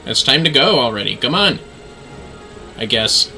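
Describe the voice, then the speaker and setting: A man's voice: medium pitch, sing-song, normal speed, vocal fry, bright, forceful tone, soft background music. A man streaming a game with casual, flippant, wry commentary.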